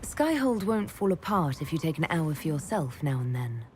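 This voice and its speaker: A composed, caring female mage who leads an army in a fantasy game. Her voice sits on the low side, measured and gently wry, over faint background ambience. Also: sing-song, slight background noise. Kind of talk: video game drama acting.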